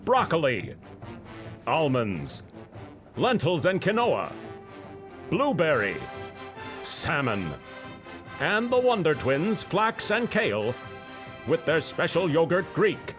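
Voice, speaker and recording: An actor playing a bombastic, portentous superhero cartoon announcer in a mock-epic ad. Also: male voice, fairly high pitch, wildly swooping pitch, slow, pauses often, full character voice. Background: music.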